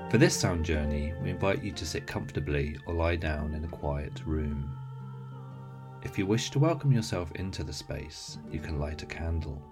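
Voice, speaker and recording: Low-pitched man introducing a meditation. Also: expressive, moderate pace, over music. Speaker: host introducing an audio series. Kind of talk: scripted introduction to a guided meditation.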